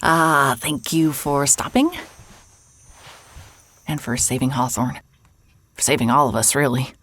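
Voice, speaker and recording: Hushed, husky, hesitant woman. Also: extremely low pitch, exaggerated voice. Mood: weary, grateful. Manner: hesitant, subdued, halting. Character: weary, guarded, apologetic woman, quietly grieving. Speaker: actor in an audio drama. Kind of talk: audio drama acting.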